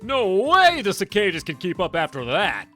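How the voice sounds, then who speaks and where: A woman streamer reading a visual novel aloud with expressive, dramatic storytelling. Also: mid-range voice, moderate pace. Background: game music.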